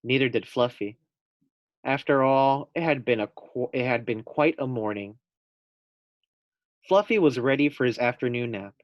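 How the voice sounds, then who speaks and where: Young teacher, male, reads to kids, gentle and steady. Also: medium pitch, dry recording, lo-fi sound. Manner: gentle, unhurried, with friendly asides. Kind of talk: reading a picture book aloud to first graders.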